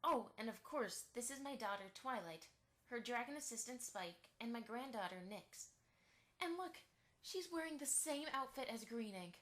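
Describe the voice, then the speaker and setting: A woman's voice: on the high side, animated, normal speed, a bit bright. A woman voicing a chatty, eager-to-please pony mother in a fan radio play, effusive and a little anxious. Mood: gushing.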